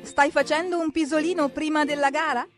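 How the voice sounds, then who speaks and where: A woman narrating a children's cartoon in an expressive, exclamatory way, talking directly to the characters as if to small children. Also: high-pitched, fairly quick. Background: background music.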